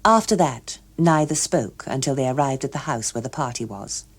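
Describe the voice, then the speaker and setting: A British woman narrating a literary story as an expressive audiobook reader. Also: a bass voice, normal speed, husky.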